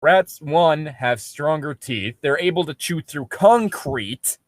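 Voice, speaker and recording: A man's voice: on the high side, lively intonation, takes it slowly, no room sound, studio quality. A man casually riffing as he reads a story aloud, with a sarcastic, blunt, sweary manner. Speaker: co-host of a comedic fan fiction reading show.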